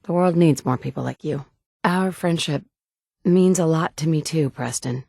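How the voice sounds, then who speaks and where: A woman's voice: low voice, normal speed, gravelly, a little mellow, dry recording. An actress in her thirties playing a tough, wry woman survivor in a video game, talking in a blunt, matter-of-fact way from a studio booth. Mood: tender. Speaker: player character. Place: recording booth. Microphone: studio mic.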